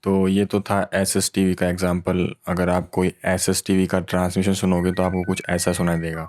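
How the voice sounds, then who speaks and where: A man's voice: sits low, monotone, normal speed, a little mellow, faint music behind. A man explaining technology in a casual, informal tutorial style, mixing in English technical terms. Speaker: tech tutorial host.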